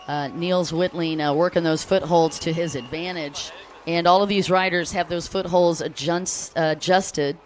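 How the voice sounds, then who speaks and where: A woman's voice: lowish voice, fairly even intonation, moderate pace, a little hiss or hum. An American woman giving live race commentary, chatty and upbeat, calling out riders and greeting fans between lap updates. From United States.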